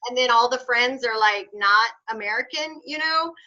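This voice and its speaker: Bright-voiced woman on a video call. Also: a little high, moderate pace, muffled recording. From North Texas. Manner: chatty, laughs often, explanatory. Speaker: host of membership call. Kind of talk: casual group video chat.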